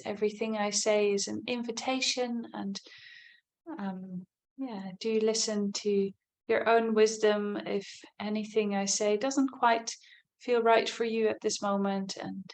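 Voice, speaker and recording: A woman leading a guided body scan meditation as a teacher, speaking gently and without hurry, in soft invitations with long pauses. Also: medium pitch, fairly even intonation.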